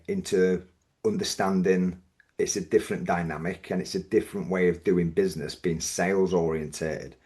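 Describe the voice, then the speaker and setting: A man with a Yorkshire accent, a business owner talking as a podcast guest, thoughtful and measured, often restarting his sentences. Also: low-pitched, darkish tone. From Yorkshire, England. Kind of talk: reflective podcast interview.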